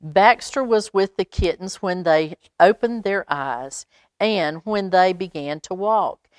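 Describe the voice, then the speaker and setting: A woman reads a picture book to young children in a fairly low voice. She takes her time and slips in chatty, expressive asides. The sound is close and dry. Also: slow, clean tone, no room sound. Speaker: library storytime reader.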